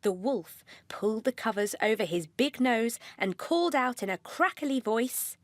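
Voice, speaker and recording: Higher-voiced woman narrating a children's story. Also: animated, unhurried. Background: music.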